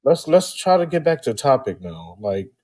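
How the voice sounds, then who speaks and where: A man's voice: mid-range voice, very animated, normal speed, darkish tone. Laid-back man moderator who stammers. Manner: laid-back, stammers, keeps steering back to topic. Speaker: panel moderator. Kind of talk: live-stream panel chat.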